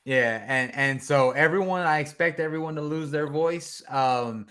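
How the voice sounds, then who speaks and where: A man's voice: a little high, moderate pace, fairly bright tone, clean tone. A man hosting a sports fan podcast, chatting loosely with plenty of ums and restarts. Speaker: podcast host. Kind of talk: casual fan podcast chat.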